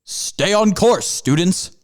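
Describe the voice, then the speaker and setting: A man's voice: high voice, lively intonation, slow. A man voicing a pompous panther professor who lectures his students in grandiose, stretched-out phrases. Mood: lecturing. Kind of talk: amateur audio drama.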